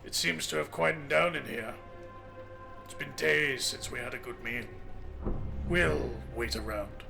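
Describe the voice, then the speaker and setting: A man playing a wry, probing, quietly threatening lawman in a noir audio drama. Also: mid-range voice, measured pace, creaky, faint music behind, full character voice.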